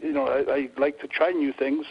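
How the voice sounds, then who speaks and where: An Italian-born man calls in with hesitant, stammering questions. His voice is gravelly and husky with a flat delivery. The line sounds dull and lo-fi. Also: medium pitch, moderate pace. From Italy. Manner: hesitant, many fillers and stammers. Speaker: radio caller. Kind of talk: casual phone-in questions.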